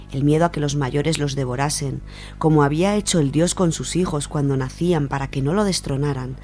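A woman's voice: low-pitched, a little mellow. A woman with an accent from Spain reading a literary short story aloud as a radio storyteller. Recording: music behind the voice.

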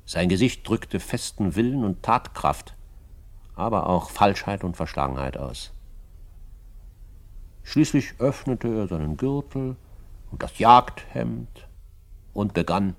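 An actor playing a self-assured frontiersman who narrates his own adventures in a radio drama, in a measured storytelling manner. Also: on the low side, lively intonation. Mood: assured, suspenseful. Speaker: first-person narrator.

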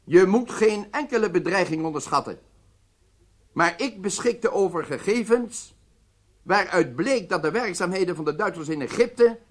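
A male actor playing a stern head of state in a radio drama, speaking formally and deliberately with emphatic phrasing. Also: high voice, fairly bright tone.

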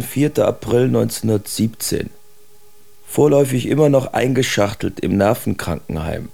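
Low, gravelly, embittered artist. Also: male voice, darkish tone, a little hiss or hum, full character voice. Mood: brooding. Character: embittered male artist writing letters from a wartime asylum. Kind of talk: dramatic reading of letters in radio play.

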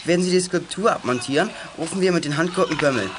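A male actor plays a level-headed boy detective in a children's audio drama. He lays out plans decisively in a high, quick voice, over faint noise. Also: natural voice. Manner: decisive, explanatory.

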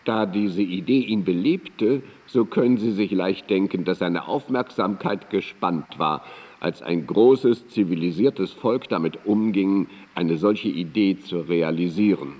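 An actor playing a philosopher's admiring friend in a radio drama, recalling him in an earnest, eloquent, measured manner. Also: male voice, sits low, dull audio. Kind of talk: radio drama, formal literary recollection. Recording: long hall-like reverb on cassette.

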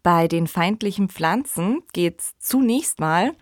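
A woman's voice: on the low side, animated, takes it slowly, no room sound. A woman co-hosting a podcast, giving a conversational introduction in a studio. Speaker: podcast co-host.

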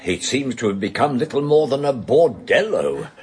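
A man's voice: mid-range voice, sing-song, moderate pace, darkish tone, close to an everyday voice. An older man with a British accent playing an elderly judge in a radio drama, formal and old-fashioned in his phrasing. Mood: weary, courtly. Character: elderly male judge, courtly, fussy, genteel. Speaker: elderly judge arriving at a hotel.

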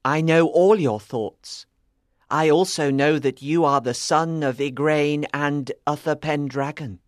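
A woman's voice: low voice, a little slow, no echo, studio quality, voice pushed down. A woman voicing a small boy who is really a wizard in disguise, speaking sharply and insistently in a storybook reading. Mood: sharp, knowing.

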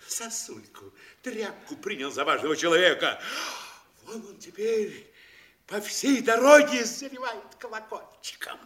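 Ranting duped town governor, high sharp voice, swings all over, stage play with room echo. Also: male voice, exaggerated voice. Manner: ranting, exclaiming, theatrical. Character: blustering middle-aged town governor, duped and furious. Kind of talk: classic Russian audio stage play acting. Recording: roomy, echoing stage-play recording.

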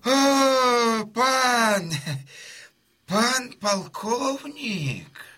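A man's voice: very high voice, animated, extremely slow, sharp tone, slight background noise, exaggerated voice. An actor playing a fawning, anxious, money-loving innkeeper in a radio drama, wailing and exclaiming as he speaks. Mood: flustered, fawning.

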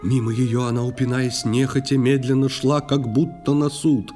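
A man narrating an audio play in verse in an expressive, theatrical way. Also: medium pitch, normal speed, over music. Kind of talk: scripted dramatic verse narration.

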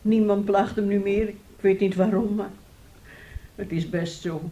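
An actress playing a troubled, soothing aunt in a Dutch radio play, telling things in a halting, emotive way. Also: female voice, medium pitch, steady tone, warm tone, lightly acted. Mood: sorrowful recollection. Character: troubled, soothing aunt and mother of a disturbed boy.

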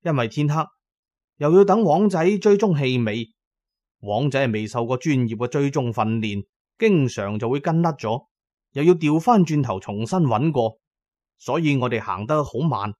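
A man's voice: medium pitch, lively intonation, normal speed, a little mellow, no echo. A man narrating a scripted first-person story in a dramatic storytelling manner. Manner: dramatic storytelling, voicing characters' lines.

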